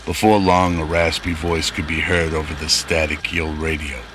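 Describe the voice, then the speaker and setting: A man's voice: rumbling low, flat delivery, normal speed, noisy background. A man narrating a scripted sci-fi radio drama.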